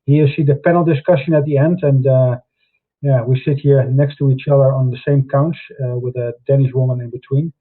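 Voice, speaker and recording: A man hosting a live online interview, talking haltingly with many fillers and restarts over a video call. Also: mid-range voice, fairly even intonation, slightly rough. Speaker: host. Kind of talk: hesitant live interview introduction. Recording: tinny phone-like audio.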